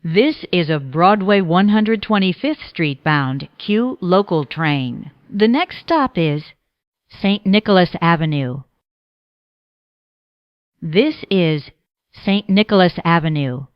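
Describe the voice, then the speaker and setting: Slow, formal woman train announcer. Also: fairly low pitch, animated, no echo, highs cut off. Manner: formal, measured, segmented phrasing. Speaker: transit announcer. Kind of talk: scripted automated train announcements.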